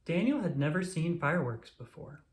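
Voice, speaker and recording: Animated children's storyteller in an echoey room. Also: medium pitch, normal speed, a little hiss or hum. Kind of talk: children's read-aloud narration.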